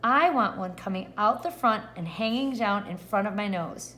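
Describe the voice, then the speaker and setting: A woman's voice: medium pitch, moderate pace, sharp tone, slight background noise, lightly acted. Woman acting a defiant, stubborn little schoolgirl. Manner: emphatic, firmly declaring.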